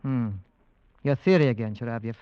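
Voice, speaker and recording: A man's voice: medium pitch, fairly quick, mellow, clear, resonant tone, lightly acted. An actor playing an inquisitive, sceptical male investigator in a 1940s radio drama, with a questioning manner. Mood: probing. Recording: dull 1940s radio recording.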